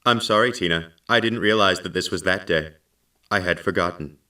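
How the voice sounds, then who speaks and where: Guy doing a deep formal robot assistant, deadpan sci-fi audio drama, kinda far from mic. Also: moderate pace. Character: deadpan male computer companion aboard a space station.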